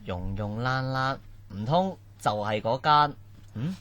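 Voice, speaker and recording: A man plays a reluctant, complaining student in a radio drama. His voice is medium in pitch and whiny in delivery. The recording is close and dry. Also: animated, takes it slowly, fairly bright tone, no echo, lo-fi sound. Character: grumbling reluctant young male student. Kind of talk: Cantonese radio drama acting.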